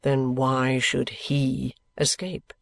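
Male actor as young gentleman, period novel dialogue: earnest, weary, evasive, creaky edge, very dry sound. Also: medium pitch, level tone, extremely slow, dry recording. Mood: insistent. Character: restless young gentleman, affectionate but bitter and evasive. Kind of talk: acted dialogue in a classic novel audiobook.

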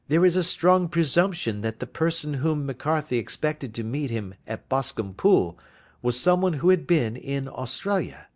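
A precise, lecturing Victorian detective lays out his reasoning with sharp emphasis, voiced by a male audiobook reader. His voice sits a little high and swings widely as he expounds, step by step.

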